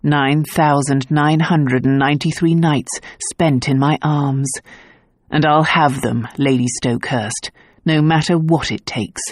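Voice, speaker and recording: A man reading an audiobook, voicing a gruff, protective nobleman husband in a low, tender voice. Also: fairly even intonation, measured pace, no room sound, clean studio sound, natural voice. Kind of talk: audiobook dialogue.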